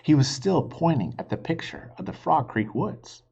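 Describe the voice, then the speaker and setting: A man reading a children's chapter book aloud, talking gently to young listeners between passages, on a dull lo-fi recording. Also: on the high side, animated, normal speed, vocal fry, husky. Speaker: reader for children.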